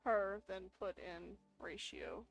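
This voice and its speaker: A woman's voice: mid-range voice, slow, vocal fry, over loud music, rough recording. A woman giving casual gameplay commentary, informally thinking aloud as she plays. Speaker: gamer playing a role-playing game for viewers.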